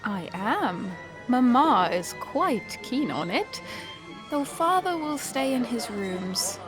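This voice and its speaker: Charmed young gentlewoman female actor, highish voice, polite and leisurely, period radio romance. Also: sing-song, slow pace. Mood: charmed, coy. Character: genteel, charmed young society lady. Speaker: actor in an audio farce. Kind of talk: period romantic-comedy acting. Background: prominent music.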